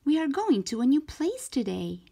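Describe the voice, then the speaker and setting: Woman reading as an eager young storybook boy, lively pitch. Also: moderate pace, vocal fry, exaggerated voice. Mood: excited.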